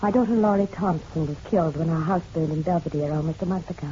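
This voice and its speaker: A woman's voice: sits low, quick pace, warm tone, natural voice. An actress playing a genteel, proud middle-aged mother in a radio drama, poised and arch with a bitter edge. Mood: grave, insistent. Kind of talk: noir radio drama acting. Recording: dull, slightly noisy radio-drama recording.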